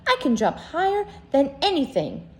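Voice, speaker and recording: A woman's voice: sits high, huge pitch swings, moderate pace, a little mellow, voice pushed up. A woman voicing a small, stubborn, earnest velveteen toy rabbit in a storybook, with an insistent, plaintive manner. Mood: boastful.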